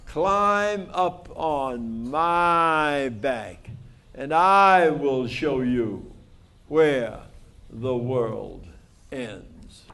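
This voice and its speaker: A man voicing a female storybook tiger who is sure of herself, in hushed, drawn-out phrases. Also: medium pitch, animated, gravelly, pitched below the performer's own voice, exaggerated voice. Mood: certain.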